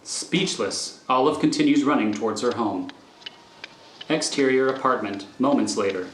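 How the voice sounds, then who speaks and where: A man's voice: mid-range voice, normal speed, fairly bright tone, a little hiss or hum. Roomy-sounding man narrating a screenplay. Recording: roomy recording over faint music.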